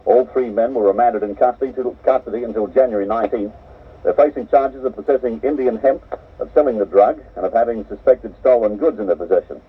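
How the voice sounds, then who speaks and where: Australian male newsreader on old radio. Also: mid-range voice. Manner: measured, steady, crisp delivery. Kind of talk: formal news bulletin reading. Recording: muffled cassette of an off-air radio broadcast, voice a little distant.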